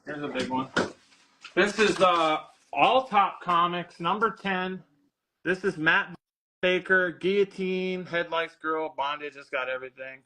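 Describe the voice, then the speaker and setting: A man's voice: high voice, unhurried, sharp tone, a little hiss or hum, rough recording. A man casually selling comic books on a live stream, quoting grades and prices in an easygoing way and laughing often. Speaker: comic book seller on a live sale. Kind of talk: casual live sales chat.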